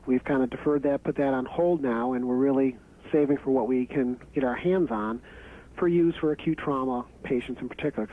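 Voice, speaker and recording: Man, expert interviewee, conversational and explanatory, medium pitch, thin phone-like radio sound. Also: brisk. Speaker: pharmacy professor, expert interviewee.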